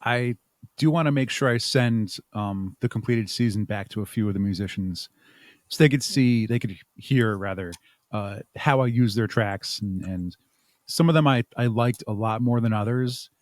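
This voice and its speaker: A man's voice: on the low side, moderate pace, no echo, clean studio sound. A man speaking casually as a podcast guest, explaining his creative work in a rambling, chuckling way. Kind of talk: casual interview chat.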